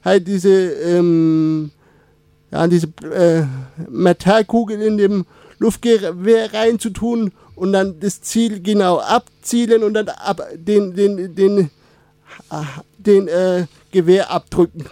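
Slow, halting young man. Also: high voice, steady tone, dark tone, no echo. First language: Turkish. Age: 20s. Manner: halting, many fillers and restarts. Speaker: radio guest. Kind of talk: casual interview.

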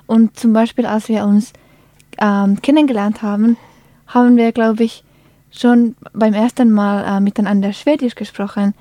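A woman's voice: mid-range voice, steady tone, normal speed, dark tone, dry recording. A young woman hosting a casual chat, encouraging and friendly, with short backchannels. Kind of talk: casual conversation.

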